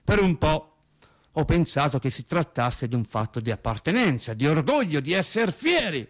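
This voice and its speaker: Animated high-voiced working-class storyteller. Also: male voice. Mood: wry reminiscing. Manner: animated storyteller, dramatic pauses and emphatic swings. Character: working-class man recalling childhood Sundays, wry and naive. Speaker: stage actor. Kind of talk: theatrical first-person monologue, storytelling for radio drama.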